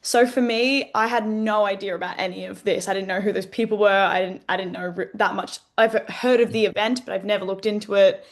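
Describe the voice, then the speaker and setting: Australian woman with a mid-pitched voice. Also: fairly even intonation, fairly quick, few pauses. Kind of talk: casual chat, giving feedback.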